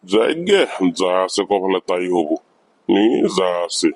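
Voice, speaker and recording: A man's voice: low voice, very animated, measured pace, dry recording, heavily acted. Man acting money-minded village man in a cartoon, dry sound. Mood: uneasy, musing. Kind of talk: cartoon comedy dialogue.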